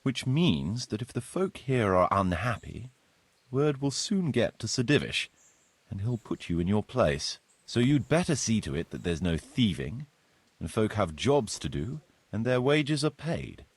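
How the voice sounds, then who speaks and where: An actor playing a courteous, businesslike medieval village steward in a video game, explaining duties in a measured, formal way with a slightly husky voice. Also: male voice, lowish voice, big pitch swings, no room sound, exaggerated voice. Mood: instructive, firm.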